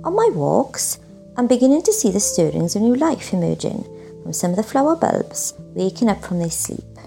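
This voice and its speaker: Woman, animated intonation, mellow, slightly creaky voice, scripted presenting, reflective reading. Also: medium pitch, normal speed, faint music behind. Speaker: showcase presenter. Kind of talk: introducing and reading own nature writing.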